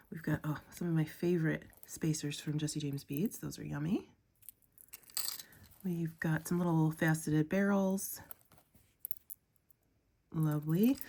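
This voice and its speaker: A young woman with a slightly low voice talks casually into a close mic at a home desk. She sounds sniffly from a cold. She chats along and gushes with drawn-out "ooh"s, and a faint hiss sits under her voice. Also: a little mellow. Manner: chatty, gushing, drawn-out "ooh"s and "um"s. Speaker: crafting vlogger. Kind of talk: casual unboxing chat.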